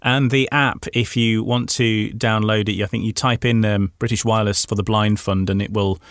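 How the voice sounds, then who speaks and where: A man's voice: fairly low pitch, fairly even intonation, moderate pace, fairly bright tone, a little hiss or hum. A man hosting a conversational radio interview, chatting with his guest. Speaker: radio host.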